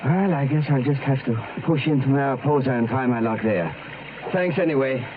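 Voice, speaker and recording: A man's voice: medium pitch, normal speed, natural voice. An actor playing a polite male traveler in an old-time radio drama. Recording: tinny, noisy old radio recording.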